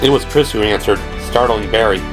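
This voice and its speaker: A man's voice: on the low side, fairly quick, over music. A man reading scripted, dramatic prose as the narrator of an audio drama. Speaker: narrator and performer.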